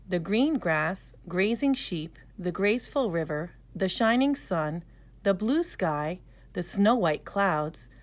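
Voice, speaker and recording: A woman storyteller narrating a scripted children's story in a careful, expressive way. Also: lowish voice.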